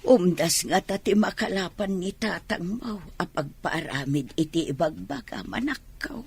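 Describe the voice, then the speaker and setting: A woman playing a gentle, supportive middle-aged village mother in a radio drama, with a husky voice. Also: fairly low pitch, unhurried, exaggerated voice. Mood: tender, supportive. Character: gentle, supportive village mother who saves for her child.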